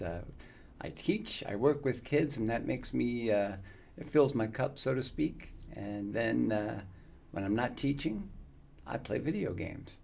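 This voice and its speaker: A man in his fifties, chatting casually and warmly with viewers on his live gaming stream, rambling with many fillers. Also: mid-range voice, gravelly, slight background noise. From United States. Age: 50s.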